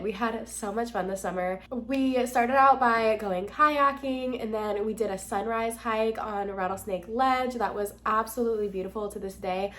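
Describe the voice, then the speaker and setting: A woman in her twenties with an American accent, chatting candidly and casually as a vlogger, with a nasal, slightly congested voice. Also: mid-range voice. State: slightly congested from being sick. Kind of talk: casual chat to camera.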